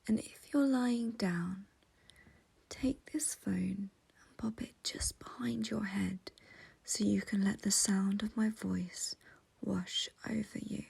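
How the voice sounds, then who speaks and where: A woman leading a guided meditation in a soft, breathy, whispery voice, gentle and soothing, with long pauses. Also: mid-range voice, fairly even intonation.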